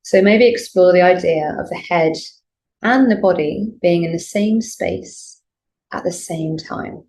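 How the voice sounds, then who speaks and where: A woman teaching yoga nidra, leading a guided meditation in a gentle, soothing, unhurried way with pauses. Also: on the low side, poor audio. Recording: clear background noise, room ambience.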